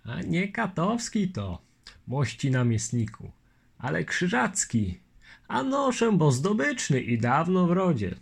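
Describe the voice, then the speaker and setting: A man's voice: fairly high pitch, very animated, slow, gravelly, slightly rough, voice pushed up, heavily acted. A man voicing a patient, earnest Lithuanian nobleman with old-fashioned courtesy, in an audiobook reading. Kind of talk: audiobook character voice.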